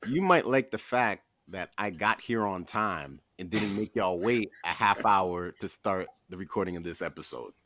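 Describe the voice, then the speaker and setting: A man's voice: low voice, moderate pace, few pauses, sharp tone, no room sound. A man co-hosting a podcast, moderating casual chat in a teasing manner. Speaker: podcast co-host.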